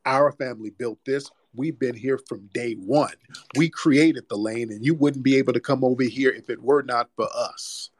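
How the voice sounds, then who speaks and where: A man's voice: mid-range voice, normal speed, dry recording. A man hosting a heated call-in debate, combative, repeating himself and talking over the caller. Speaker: host.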